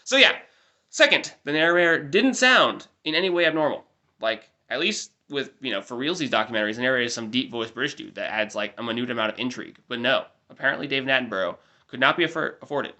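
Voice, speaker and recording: A man's voice: mid-range voice, expressive, fast talker, sharp tone. A nasal young man giving a wry, rambling recap of a family trip in mock-formal wording. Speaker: vlogger.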